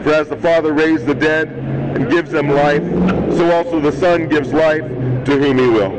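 A man's voice: a little high, heavy background noise. Fifty-year-old man preaching on the street. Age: 50s. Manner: steady, measured, unshaken reading. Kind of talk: reading scripture aloud in public. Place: outdoors on a busy street. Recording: loud street crowd behind.

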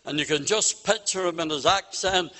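A man preaching an evangelistic gospel sermon, solemn and exhorting, with deliberate phrasing and direct appeals to his listeners. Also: on the high side, pushed and bright. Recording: long reverb of a meeting hall.

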